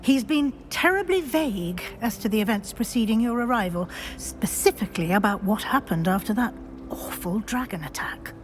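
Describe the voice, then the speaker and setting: A woman playing a shrewd witch professor in a video game, speaking in a brisk, formal, articulate and gently admonishing way. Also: a little high, animated, a little hiss or hum, close to an everyday voice. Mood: brisk, probing. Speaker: teacher. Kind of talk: scripted video game acting. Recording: music behind the voice. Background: background music.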